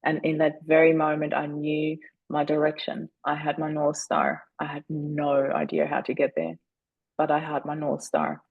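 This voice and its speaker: Woman, gravelly, earnest storytelling. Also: low-pitched, dry recording, phone-quality sound. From Austria. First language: German. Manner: earnest and deliberate, slowing down on key moments. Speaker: podcast guest. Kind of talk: reflective storytelling.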